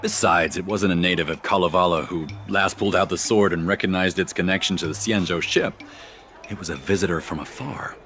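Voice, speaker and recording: A man's voice: low-pitched, fairly quick, fairly bright tone, soft background music. A man playing an earnest, proud envoy from a distant planet in a video game, eager and formally polite. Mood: earnest, resigned. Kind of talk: scripted video game dialogue.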